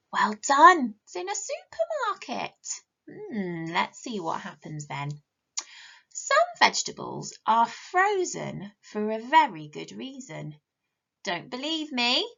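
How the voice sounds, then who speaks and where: A woman teacher reading a picture book to children, animated and asking them questions, often dropping to a whisper. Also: medium pitch, takes it slowly, vocal fry, muffled recording.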